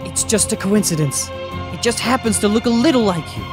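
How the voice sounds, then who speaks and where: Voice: mid-range voice, animated, fairly quick, over music, lightly acted. A performer voicing an anxious, earnest young man who narrates and acts in a horror manga dub. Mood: reassuring, urgent.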